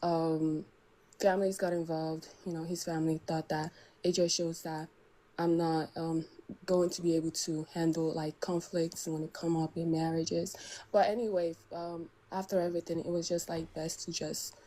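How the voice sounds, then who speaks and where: Woman caller, low flat dark voice, hesitant, many "um"s. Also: normal speed. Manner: hesitant, full of "um" and restarts. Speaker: caller seeking advice. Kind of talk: telling a personal story.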